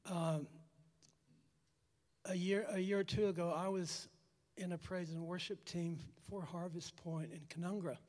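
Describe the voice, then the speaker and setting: A raspy-voiced man giving a halting personal testimony to a church congregation, repeating words as he goes. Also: sits high, steady tone, a little mellow. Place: church hall. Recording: long church hall reverb.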